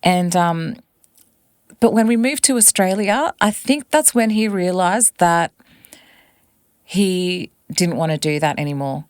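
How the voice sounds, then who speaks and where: A woman's voice: fairly low pitch, unhurried, clean tone, no echo, clean studio sound. A woman in her forties from West Papua, whose first language is not English, telling her family's story as a guest in a podcast interview with earnest reflection. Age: 40s. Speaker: singer-songwriter guest.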